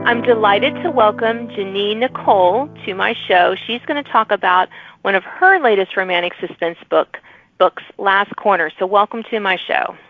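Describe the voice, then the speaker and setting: A woman's voice: mid-range voice, normal speed, faint music behind. A woman hosting a podcast interview in an enthusiastic, encouraging, conversational manner. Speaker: podcast host. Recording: narrow phone-like audio.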